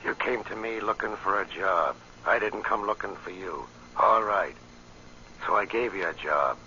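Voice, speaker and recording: A man's voice: sits low, normal speed, pushed and bright. An actor playing a menacing American crime boss with sneering tough-guy slang, in old-time radio drama style. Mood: sneering menace. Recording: muffled, hissy old radio recording.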